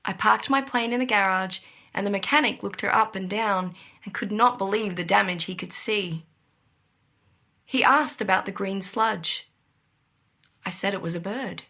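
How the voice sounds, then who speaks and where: A woman acting a young, gutsy pilot who narrates her ordeal in an intense, breathy, suspenseful audio drama style. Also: medium pitch, moderate pace. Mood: subdued, reflective. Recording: loud vehicle-like engine noise behind.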